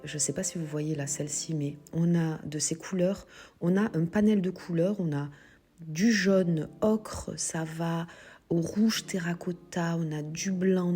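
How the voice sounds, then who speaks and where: A woman's voice: fairly low pitch, fairly even intonation, normal speed, dark tone, slightly rough, faint music behind. A woman with a cold walking viewers through her shop's pottery stock, chatting as she explains prices and sizes. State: has a cold. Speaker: shop owner presenting stock. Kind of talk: product walkthrough video.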